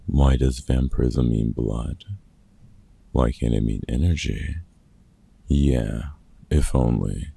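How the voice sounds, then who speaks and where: Gruff veteran monster hunter with a soft heart, played by a man, audio roleplay; very husky, unhurried, sweary mentor. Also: very deep voice, fairly even intonation, heavily acted. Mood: warm, wry. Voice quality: gravelly, husky. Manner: gruff, sweary, casual mentor talk with pauses. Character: gruff, kind-hearted veteran male vampire hunter and woodworker. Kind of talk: comfort ASMR audio roleplay.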